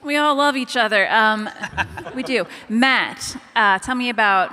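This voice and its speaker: A woman's voice: a little high, a little slow, bright, forceful tone, slight background noise. A woman moderating a convention panel, gushing and playful as she hands questions to the guests. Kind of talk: hosting a fan panel. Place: large convention hall. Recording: echoey hall with crowd murmur.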